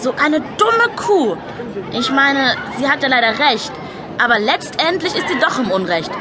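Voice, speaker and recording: An outspoken schoolgirl friend in a children's audio play. Her voice is fairly high and very animated, frank in manner, over noisy chatter. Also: measured pace. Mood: indignant.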